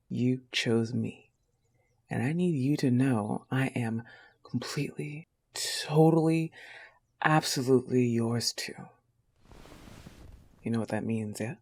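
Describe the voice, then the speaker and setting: A man voicing a possessive but tender young goth wolf girlfriend, soft-spoken, in an intimate audio roleplay. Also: mid-range voice, takes it slowly, pauses often, husky. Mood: proud, tender.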